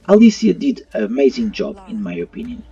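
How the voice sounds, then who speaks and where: Husky man reviewing movies, mellow and animated. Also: mid-range voice, fairly quick. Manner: non-native English phrasing. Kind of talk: opinion review voice-over. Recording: muffled, slightly distant mic. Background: faint music.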